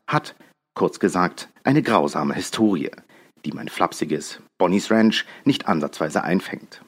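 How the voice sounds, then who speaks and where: Low-voiced guy from berlin reading his essay, lots of up and down in the voice, thoughtful. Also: breathy edge. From Berlin, Germany. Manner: reflective, measured reading. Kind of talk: scripted first-person essay narration.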